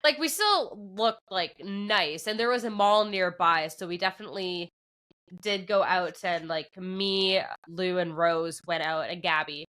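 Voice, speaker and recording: Podcast co-host, woman, English Canadian accent, bright and forward voice, animated storyteller. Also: fairly low pitch, a little slow. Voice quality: drops P sounds at the start of words. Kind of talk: casual chat.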